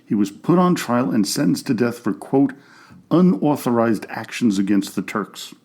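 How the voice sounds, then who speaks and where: Mellow-voiced man narrating history. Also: mid-range voice, normal speed. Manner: reads steadily from a script with an even, informative delivery. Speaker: history podcast host.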